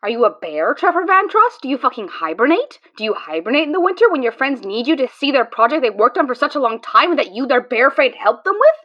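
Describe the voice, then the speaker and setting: A woman's voice: high voice, big pitch swings, fast, few pauses, a bit bright, lo-fi sound, heavily acted. A woman voicing a sarcastic, exasperated friend in an audio drama, prone to ranting.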